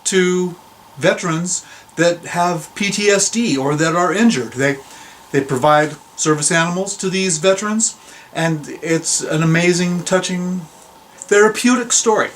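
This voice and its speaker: A man's voice: high-pitched, measured pace, fairly bright tone, slight background noise. A man hosting a video series, chatting casually and informally as he speaks straight to his viewers. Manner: informal, addressing viewers directly. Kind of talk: casual chat introduction to a reading.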